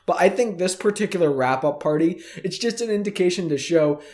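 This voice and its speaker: A man with a hoarse, fading voice after the flu, hosting a weekly fan news show in a casual, eager way and talking straight to his viewers. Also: sits high, lively intonation, fairly quick, darkish tone. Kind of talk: casual news commentary to camera.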